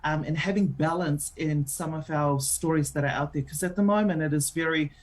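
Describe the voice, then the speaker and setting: A woman's voice: low voice, brisk. A woman with a New Zealand accent, a radio show host being interviewed on air, talking in a chatty way with many filler ums.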